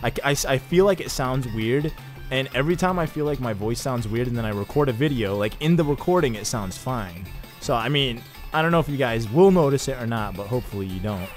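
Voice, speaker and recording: A young man giving casual, excitable let's-play commentary over his gameplay, chatting with jokey asides. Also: medium pitch, a little fast, soft background music. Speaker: gaming YouTuber.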